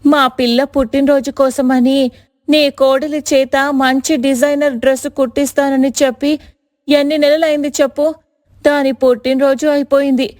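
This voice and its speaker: Woman acting high-pitched scolding village woman. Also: dry recording, studio quality, close to an everyday voice. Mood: indignant complaint. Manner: sarcastic, scolding. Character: angry, taunting village woman customer scolding a tailor. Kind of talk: animated moral story dialogue.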